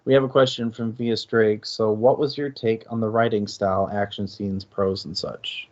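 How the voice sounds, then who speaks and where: A man hosts a casual book discussion in a low, level voice. He takes his time, thinking aloud with asides. The sound is slightly dull and lo-fi, like online stream audio. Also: level tone, moderate pace.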